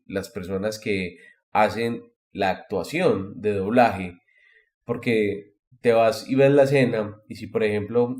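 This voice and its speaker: A man with a Latin American Spanish accent in a casual podcast discussion, explaining things haltingly and repeating words as he goes. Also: on the low side, darkish tone. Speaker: podcast host.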